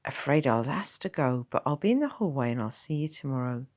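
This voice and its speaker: Woman reading as a blunt, kindly old countryman; low, animated, plain-spoken. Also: fairly quick. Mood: gruff, plain. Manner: blunt rural dialect, calm.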